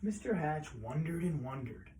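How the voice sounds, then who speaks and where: Mellow-voiced man reading a picture book, background noise. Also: fairly high pitch, animated, normal speed. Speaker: read-aloud storyteller.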